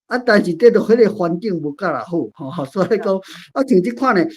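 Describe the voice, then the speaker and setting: Elderly Taiwanese speaker, eighties, medium pitch, fragmented casual phrasing, discussion participant. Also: expressive, fairly quick, a little mellow, husky. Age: 80s. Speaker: participant in an online play-reading forum. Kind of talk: casual sharing of experience in a group discussion.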